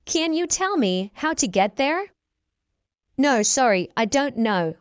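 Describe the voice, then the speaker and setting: A woman teaching English, reading short practice dialogues slowly and clearly and repeating each exchange for learners. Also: high-pitched, big pitch swings, no echo.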